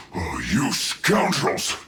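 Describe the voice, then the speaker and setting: A man's voice: on the low side, echoey room, full character voice. An actor voicing a defeated, harmless male enemy soldier in an anime game, indignant and protesting. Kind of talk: anime video game voice acting.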